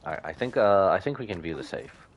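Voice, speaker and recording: A man's voice: sits low, animated, quick pace, lo-fi sound. A man giving casual live gameplay commentary as a streamer, thinking aloud and reacting with exclamations.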